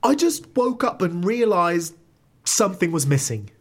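An actor playing a dejected, anxious famous comedian, hesitant and full of self-doubt, in a comedy audio drama. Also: male voice, sits high, sing-song, a little slow, heavily acted. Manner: hesitant, sheepish.

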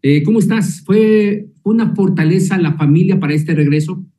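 A man interviewing a boxer in a friendly way, warmly calling him champ. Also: high voice, normal speed. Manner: friendly, calls the guest Champ. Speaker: sports interviewer.

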